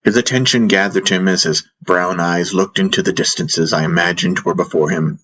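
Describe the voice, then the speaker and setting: A man's voice: deep voice, steady tone, normal speed, a bit bright, breathy edge, rough recording. A man narrating a fantasy audiobook in the first person, in a solemn, dramatic manner.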